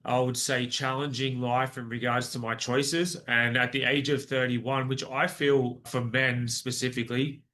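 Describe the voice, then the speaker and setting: A man's voice: mid-range voice, level tone, unhurried, hardly any pauses, sharp tone. A man in his forties with an Australian accent, telling his personal story as a podcast guest in an earnest, rambling way with many restarts. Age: 40s.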